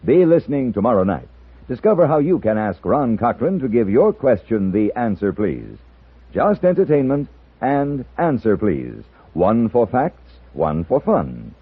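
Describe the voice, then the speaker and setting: A man's voice: medium pitch, sing-song, measured pace, slightly rough. A man announcing a scripted programme promo as a 1950s radio network announcer. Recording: tinny old radio recording.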